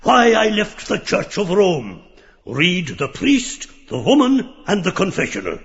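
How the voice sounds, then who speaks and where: Manic diabolic creature, high and creaky. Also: male voice, wildly swooping pitch, a little slow, muffled recording, exaggerated voice. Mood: sly, lecturing. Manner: theatrical, erratic, howling and yelping. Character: diabolic grotesque creature, manic ranting heretic. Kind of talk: surreal audiobook stage play.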